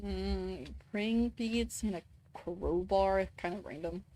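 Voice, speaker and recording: A woman's voice: medium pitch, slow, dark tone. A Danish woman streaming a game, thinking aloud and answering chat, often searching for words. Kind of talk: casual gameplay chat.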